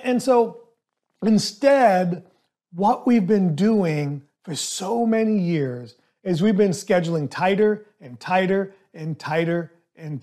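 A man who speaks English as a second language, hosting a solo podcast as a dentist and coaching his listeners in an emphatic, animated way. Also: sits high. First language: not English.

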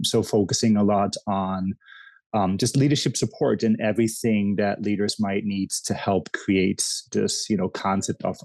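A man from the Netherlands with a Dutch accent, chatting as a podcast guest, talking haltingly with lots of restarts and fillers. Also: on the low side, gravelly, a little mellow, dry recording. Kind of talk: casual interview chat.

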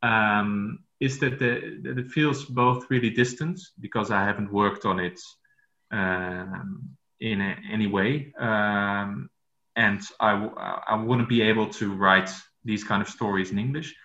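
A man's voice: fairly low pitch, fairly even intonation, measured pace, sharp tone. Hesitant Dutch man, roomy muffled sound. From Netherlands. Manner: hesitant, many fillers and restarts. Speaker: author guest. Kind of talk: podcast interview.

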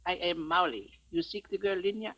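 Skinny meek burmese guide, piercing high voice, broken english, 1950s radio play. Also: male voice, lively intonation, fairly quick, pushed and bright, exaggerated voice. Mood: meek, eager. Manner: pleading, stilted broken English. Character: skinny little Burmese guide, timid, deferential servant. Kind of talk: 1950s radio drama acting. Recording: vintage 1950s radio broadcast.

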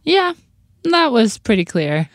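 A woman's voice: fairly high pitch, very animated, slow, no echo, clean studio sound. An American woman co-hosting a podcast in a conversational style. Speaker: podcast co-host.

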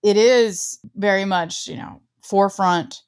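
A woman's voice: mid-range voice, unhurried, darkish tone. A woman interviewer with a mid-range voice. She talks in a reflective, conversational way, agreeing with her guest and adding her own thoughts. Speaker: interviewer, host.

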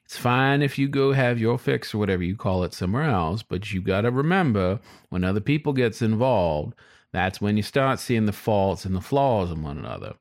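A man voicing a folksy, philosophical old male coyote cab driver in an audiobook story, giving unhurried advice. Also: lowish voice, expressive, no echo, clean studio sound. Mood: sage, reassuring.

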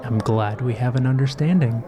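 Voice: mid-range voice, normal speed, crowd noise, natural voice. A performer playing a guarded, sardonic woman spy in an audio drama, terse, dry and unimpressed. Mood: guarded, menacing.

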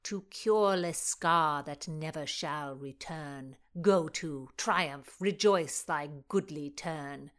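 Woman reading a proud young earl, teasing and grand, lower voice, storybook reading. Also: takes it slowly, few pauses. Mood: passionate. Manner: declaiming verse with force.

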